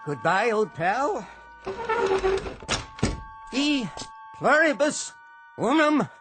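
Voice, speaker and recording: Slow, grandiloquent old barfly. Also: male voice, extremely high pitch, very animated, over music, exaggerated voice. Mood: genial, expansive. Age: older. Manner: theatrical, florid, slow declaiming. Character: genial old barfly, grandiloquent, rambling drunk. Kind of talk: old-time radio drama acting.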